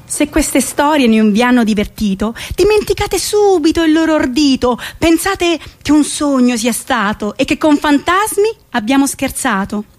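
High-voiced, spirited young woman, played by an actress. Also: animated, normal speed, hardly any pauses. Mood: declaiming. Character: spirited, slightly eccentric young woman of today. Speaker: stage actress. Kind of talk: theatrical monologue for a radio play.

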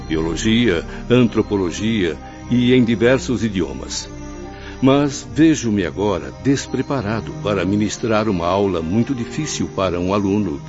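A man playing the humble, hesitant spirit of a learned professor in a radio drama, with formal, earnest diction. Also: fairly low pitch. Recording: muffled radio play with music bed.

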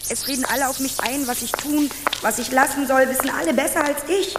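An actress playing a sulky, stubborn fifteen-year-old princess in a radio play, blunt and pert. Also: female voice, sits high, steady tone, brisk, very noisy, lightly acted. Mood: sulky, defiant.

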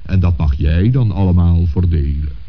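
Man playing kindly old bishop saint, really low voice, stately n patient, kids radio play, muffled old tape. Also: background noise, lightly acted. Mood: reassuring. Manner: measured, reassuring, stately. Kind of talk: children's radio play acting.

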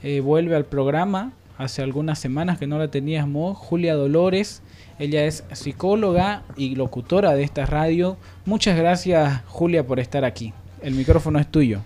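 A man's voice: fairly high pitch, moderate pace, mellow. A man hosting a radio talk show, reading out messages and introducing guests. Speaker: radio host.

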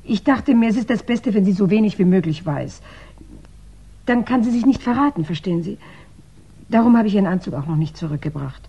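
A woman's voice: medium pitch, expressive, fairly quick, mellow, slightly rough. An actress playing a devoted, anxious younger woman in a 1960s German radio crime play, earnest and hesitant. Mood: relieved, devoted. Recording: dull 1960s radio recording.

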